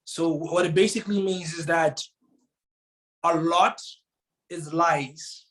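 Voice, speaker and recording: A man's voice: a little high, fairly even intonation, takes it slowly, a bit bright, low-quality recording. A man from South Africa, a Tswana speaker, telling a motivational story earnestly as an interview guest. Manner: earnest, repeats words, rises to emphatic bursts.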